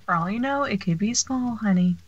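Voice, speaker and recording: A woman's voice: mid-range voice, brisk, muffled recording. A woman co-commentator giving casual reactions during a game.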